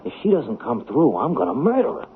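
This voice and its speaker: Desperate streetwise hustler, tinny radio sound. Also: male voice, medium pitch, wildly swooping pitch, fairly quick. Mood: scared, frustrated. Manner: slangy, streetwise, stammering under pressure. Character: desperate small-time hustler, a whining, self-pitying loser. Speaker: actor and first-person narrator. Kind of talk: 1970s radio drama acting. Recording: tinny, noisy old radio broadcast.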